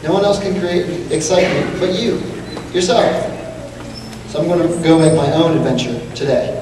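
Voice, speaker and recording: A man's voice: on the high side, moderate pace. A young man, a student, performing a readers theatre monologue on a stage. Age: teens to young adult. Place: school auditorium stage. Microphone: stand mic, heard from distant camera. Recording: echoey hall, distant mic, noisy.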